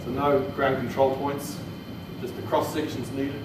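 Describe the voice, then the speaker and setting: A man with a New Zealand accent presents technical training in an informal, plain-spoken way. He is picked up from far off in a very reverberant room, with clear noise behind him. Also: mid-range voice, flat delivery, unhurried. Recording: echoey room, distant mic, noisy.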